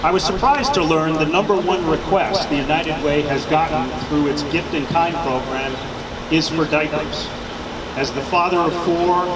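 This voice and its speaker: A man with a fairly high voice delivers formal prepared remarks at an outdoor charity event. He stands some way from the mic, and heavy traffic and air noise fill the background. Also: distant mic. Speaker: board chair. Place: outdoor parking lot.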